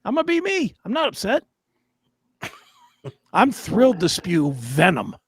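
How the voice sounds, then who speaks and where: A man with a raspy voice co-hosting an unscripted talk show, arguing in a crude, defiant, profane way. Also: sits high, wildly swooping pitch, unhurried, no room sound, studio quality.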